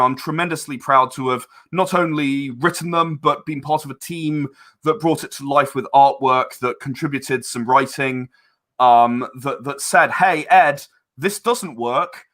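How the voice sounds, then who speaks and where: A man with a bright, mid-pitched voice talks as the guest in a casual interview. He is earnest about his creative work and restarts phrases haltingly as he thinks them through. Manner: hesitant, halting, repeats words. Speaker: interview guest, game and audio drama creator.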